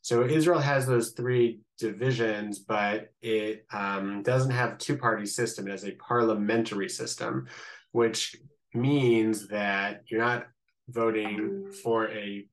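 A man with a Midwestern American accent and a lowish voice speaks as an interview guest. He is a rabbi from Ohio, explaining in an informal, conversational way. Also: fairly even intonation, slow, low-quality recording. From Columbus, Ohio, United States.

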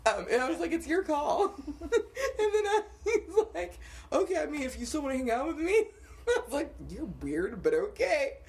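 A young woman co-hosting a podcast, telling dating stories in a casual, animated way and laughing often. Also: high-pitched, moderate pace. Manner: animated storytelling with frequent laughter. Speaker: podcast co-host. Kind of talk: casual chat.

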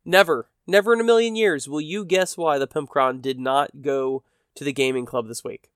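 A man's voice: on the high side, moderate pace, smooth, no room sound, studio quality. A man hosting a casual solo podcast, chatting in a rambling, self-deprecating way while sounding a bit stuffy from allergies. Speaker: podcast host.

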